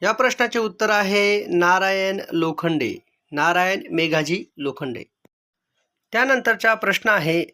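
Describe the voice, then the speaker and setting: A man speaks in a notably high, bright and crisp-edged voice. He works as a quiz teacher, reading questions, options and answers aloud. His delivery is measured and follows a set formula. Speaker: exam-prep quiz teacher.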